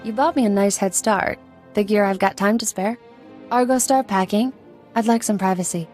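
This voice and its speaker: A woman's voice: medium pitch, normal speed, a little mellow, faint music behind, close to an everyday voice. A woman playing a tough, lonely outlaw in a video game, plain-spoken and guarded. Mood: brisk. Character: tough, lonely female outlaw with a soft spot.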